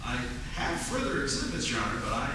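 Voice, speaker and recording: A man's voice: medium pitch, big pitch swings, fast talker, close to an everyday voice. A man playing an earnest, crafty defense lawyer in a stage production of a 1940s radio play. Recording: distant echoey theatre, noisy.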